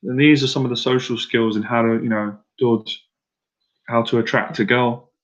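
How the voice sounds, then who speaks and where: Male dating coach on a live stream: casual, direct, mid-pitched, a little muffled. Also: steady tone, normal speed. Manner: casual and direct, repeats himself, asks viewers to answer in the chat.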